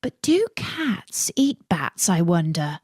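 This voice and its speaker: A woman's voice: lowish voice, sing-song, slow, a little mellow, husky, dry recording, voice pushed down, exaggerated voice. Curious, chatty young girl for a storybook, read by a woman, dreamy, close and dry. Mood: wistful, musing.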